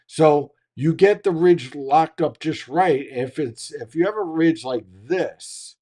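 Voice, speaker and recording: A man who hosts a live weather chat, teaching how storms build and answering viewer questions, his voice going hoarse. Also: a little high, slow pace, dark tone. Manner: explanatory, teacherly, asks "right?" often. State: losing his voice. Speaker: meteorologist hosting a live chat. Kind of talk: live-stream weather explanation and Q&A.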